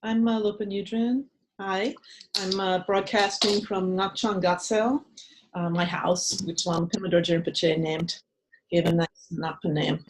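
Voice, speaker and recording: A woman's voice: mid-range voice, fairly even intonation, unhurried, background noise. An older woman leading a casual workshop from her home shrine room over a webcam, chatty and full of ums and restarts. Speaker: meditation teacher leading a workshop. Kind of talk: casual online workshop talk.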